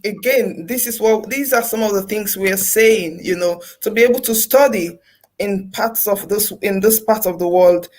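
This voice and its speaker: Brief: woman interviewer, gushing and repetitive, mid-pitched. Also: moderate pace, a little mellow. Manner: effusive, repeats thanks and phrases, many fillers. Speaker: interview host. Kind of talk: interview talk.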